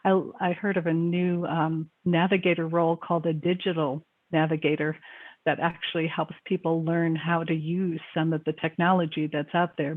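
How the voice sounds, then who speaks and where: A woman with a fairly low voice moderates an online panel. She reads out audience questions and adds her own remarks in a steady, measured way. Her voice comes through thin and narrow, like a phone line.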